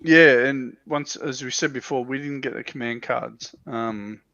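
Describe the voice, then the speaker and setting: A man's voice: mid-range voice, fairly even intonation, a little slow, bright, forceful tone, no room sound, muffled recording. A man chatting casually as a panelist on a livestream, talking in a hesitant way that often doubles back on itself. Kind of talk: casual chat. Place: livestream call.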